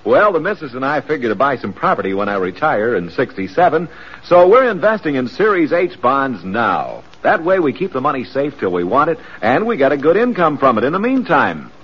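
A man's voice: mid-range voice, sing-song, brisk, husky. An actor playing a middle-aged man in a radio commercial who explains his retirement savings in a plain, homespun way. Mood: earnest. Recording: dull old broadcast recording.

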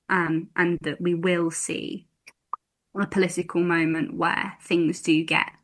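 A woman in her twenties speaking as a campaigner on a radio interview. Her voice sits a little low yet sounds bright. She talks in slow, measured phrases, often pausing on um. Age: 20s. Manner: thoughtful, many ums, slow measured phrasing. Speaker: campaigner and guest.

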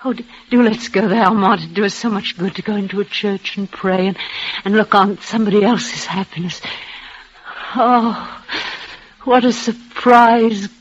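An actress playing a frail, dying young woman, tender and devoted, speaking in a breathless, halting way with soft repeats. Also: mid-range voice, steady tone, husky, close to an everyday voice. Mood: joyful. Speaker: lead actress. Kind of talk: 1930s radio drama acting. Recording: muffled old radio broadcast.

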